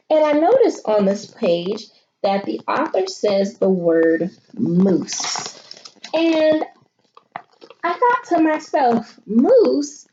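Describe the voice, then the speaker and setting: An animated woman teacher reads a picture book to children in a high, swooping voice. She takes her time, thinking aloud and asking questions. The recording is a bit muffled, with noise behind her. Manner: expressive, thinking aloud, questioning young listeners. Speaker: elementary school teacher. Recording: muffled audio with clear background noise.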